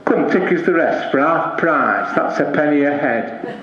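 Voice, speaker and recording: A man's voice: fairly high pitch, lively intonation, unhurried, a bit bright. A man playing a thrifty, stubborn father who haggles bluntly, in a comic dialect verse monologue. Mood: bargaining. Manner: blunt, bargaining. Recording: muffled, very echoey live room.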